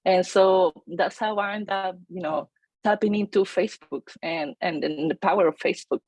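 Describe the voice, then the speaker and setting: A woman, telling her story as an interview guest, energetic with many fillers and restarts. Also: mid-range voice, normal speed, breathy edge, no room sound, dull audio. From Chile. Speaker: real estate agent, guest.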